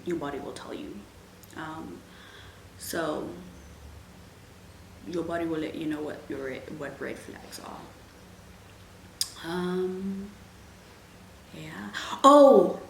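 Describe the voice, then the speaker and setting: Slow-talking young woman. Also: on the low side. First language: Afrikaans. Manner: chatty, frank, many "like"s and "um"s. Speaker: vlogger answering viewer questions. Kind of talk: casual Q&A chat. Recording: echoey room, faint background hum.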